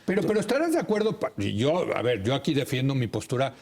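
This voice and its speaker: A man's voice: medium pitch, wildly swooping pitch, fast, a little mellow. A man with a Mexican accent hosting a television discussion, interjecting and questioning his guests. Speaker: TV interview host. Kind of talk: moderating a TV discussion.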